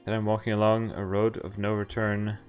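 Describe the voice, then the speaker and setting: A man's voice: fairly low pitch, monotone, fairly quick, darkish tone. A man giving casual playthrough commentary on a game, reading its text aloud with wry, offhand asides. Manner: wry offhand asides between read lines. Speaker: game streamer. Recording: game music behind the voice. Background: visual novel music.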